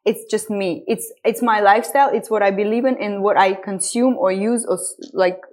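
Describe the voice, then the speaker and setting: A woman's voice: medium pitch, fast, darkish tone. A woman, speaking as an interview guest and explaining her wellness business earnestly, with small grammar slips. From Estonia. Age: 30s. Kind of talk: interview conversation.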